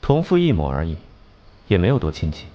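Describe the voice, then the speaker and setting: An actor voicing a cold, brilliant male detective in an animated drama, speaking with condescending, literate composure. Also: deep voice, a little fast, lo-fi sound, a little hiss or hum. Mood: cool, challenging. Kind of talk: Chinese animation dubbing.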